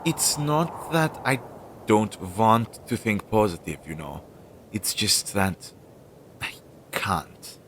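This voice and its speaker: Very deep-voiced, anxious familiar played by a man. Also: sing-song, a bit bright, exaggerated voice. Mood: worried. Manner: hesitant, halting, fretful. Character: anxious, self-doubting magical familiar devoted to his master. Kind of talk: scripted audio roleplay, one-sided dialogue. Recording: low-fidelity audio with clear background noise.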